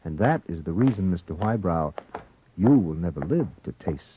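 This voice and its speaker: Sinister noir narrator: low, swooping, ominous delivery, taunting his victim on a tinny old radio recording. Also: animated, normal speed, background noise. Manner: theatrical, ominous, insistent second-person address. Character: sinister, taunting male narrator addressing a doomed man. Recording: muffled, tinny 1940s radio recording, music behind.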